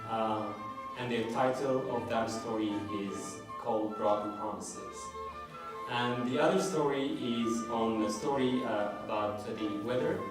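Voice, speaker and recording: Male author, Ethiopian accent, slow halting delivery, distant mic in a very echoey space with music. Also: medium pitch, steady tone. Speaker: memoir author, guest speaker. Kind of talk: informal introduction to a public reading. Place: room at a public event.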